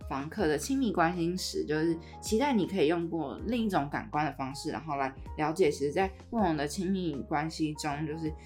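A woman with a Taiwanese accent and a fairly low voice hosts a podcast. She presents in a conversational style, with faint music playing underneath. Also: expressive, a little fast, few pauses, darkish tone. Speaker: podcast host.

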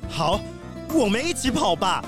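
A woman's voice: mid-range voice, big pitch swings, a little slow, music in the background. A woman voicing a despondent, remorseful young animal runner in a children's audio drama. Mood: relieved.

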